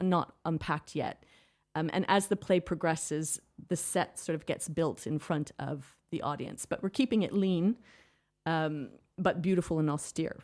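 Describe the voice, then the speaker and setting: Enthusiastic Canadian woman, low voice. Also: a little mellow. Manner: enthusiastic, talks in long flowing explanations. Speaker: theatre director, guest. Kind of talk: radio interview. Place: radio studio.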